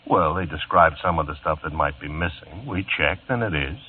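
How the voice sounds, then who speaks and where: An actor playing a drawling, needling police lieutenant in a vintage radio drama. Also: male voice, rumbling low, moderate pace, slight background noise. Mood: sly. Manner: drawling, insinuating. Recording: tinny old radio broadcast recording.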